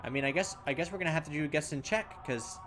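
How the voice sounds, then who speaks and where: A man's voice: a little high, fast, background noise. Man thinking aloud over a game. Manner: thinks aloud with restarts, mildly exasperated. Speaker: let's play host. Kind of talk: casual game commentary, reading text aloud.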